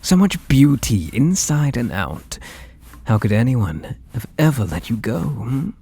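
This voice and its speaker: Man acting a slow, obsessive British artist lover. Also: mid-range voice, very animated, exaggerated voice. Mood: adoring, intimate. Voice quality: soft and breathy, often dropping to whispers. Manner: tender, slow, menacingly intimate. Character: obsessive, possessive lovestruck male artist. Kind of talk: ASMR roleplay acting.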